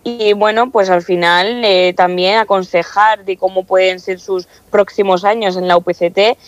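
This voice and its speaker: A woman's voice: medium pitch, steady tone, normal speed, no echo. Young Spanish woman, muffled audio. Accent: Spanish from Spain. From Castilla-La Mancha, Spain. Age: early 20s. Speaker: student council president being interviewed. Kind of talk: conversational interview answers.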